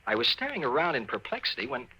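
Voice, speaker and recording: A man's voice: medium pitch, huge pitch swings, fast, bright, forceful tone, slight background noise, full character voice, poor audio. An actor playing a desperate young everyman, a man telling his own story dramatically in 1950s radio style. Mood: tense, puzzled. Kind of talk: 1950s radio narration acting. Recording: old 1950s radio recording.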